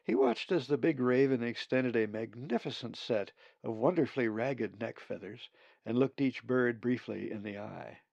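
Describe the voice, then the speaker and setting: A man narrating an audiobook of his own story in a measured storyteller's manner. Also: medium pitch, creaky, a little mellow, dry recording, muffled recording. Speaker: author reading own book.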